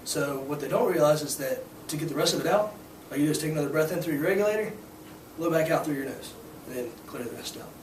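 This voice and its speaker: Young guy teaching scuba, hoarse, kinda high voice, mic far off, echoey noisy room. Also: fast talker. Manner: casual, explanatory, frequent fillers. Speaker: scuba instructor. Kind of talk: hands-on demonstration to a class.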